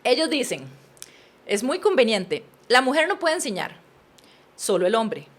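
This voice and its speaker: Costa Rican woman in her thirties, slightly high voice, earnest guest storyteller. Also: a little slow. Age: 30s. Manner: earnest, animated, addresses listener as usted. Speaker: podcast guest. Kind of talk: personal storytelling in conversation.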